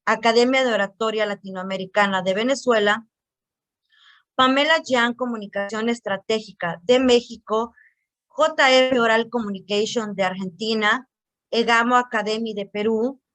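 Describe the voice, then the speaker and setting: A woman with a fairly high voice formally announces event partners in a crisp, steady manner. The sound is dry and close, with no room echo, as she reads through the list. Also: moderate pace. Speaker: event presenter.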